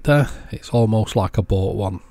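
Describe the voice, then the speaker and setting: A man with a fairly low voice hosts a hands-on electronics demonstration. He explains each step in a measured, methodical way, as a voice-over to the work on the bench. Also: dark tone, no room sound. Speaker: host of an electronics repair channel.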